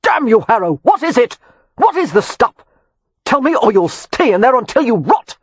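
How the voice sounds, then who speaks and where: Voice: medium pitch, sing-song, normal speed, husky, no echo. A narrator voicing a hot-tempered, sardonic young nobleman in an audiobook. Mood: furious. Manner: furious, raised voice. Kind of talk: audiobook character voice.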